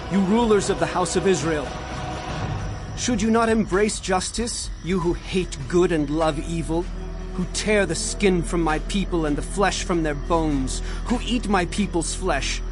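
An impassioned biblical prophet in an audio drama. His voice sits a little high for a man, and he declaims solemnly and sternly against corrupt rulers. Music plays clearly behind him. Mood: indignant. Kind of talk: dramatized Bible reading.

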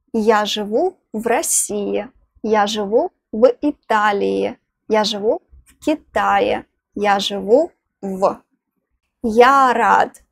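A young woman, teaching phrases outdoors, saying each one twice, slowly and clearly, into a clip-on lapel mic. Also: medium pitch, no room sound, clean studio sound. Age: young adult. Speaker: language teacher. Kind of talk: slow phrase-by-phrase lesson. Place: outdoors in front of greenery.

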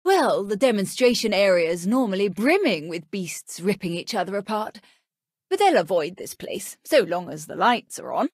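A female scientist from a video game. She has a husky, mid-pitched voice with lots of movement, explaining things expressively in a dry studio sound. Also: sing-song, moderate pace, no echo. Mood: apologetic. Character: female facility scientist explaining dire problems. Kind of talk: dramatic video game dialogue.

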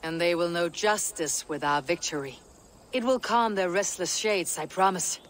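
An actor playing a stern Viking war leader, blunt and commanding, in a video game cutscene. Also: male voice, high voice, normal speed, fairly bright tone, a little hiss or hum.

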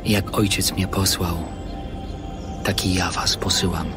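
A calm, authoritative holy teacher in an audio Bible drama. His voice is very low and he speaks slowly and solemnly, over prominent music. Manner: slow, measured, solemn. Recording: studio voice over loud cinematic music.

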